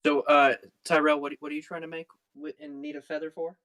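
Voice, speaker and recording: Higher-voiced man in playful game chat. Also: big pitch swings, fairly quick, vocal fry, a bit bright.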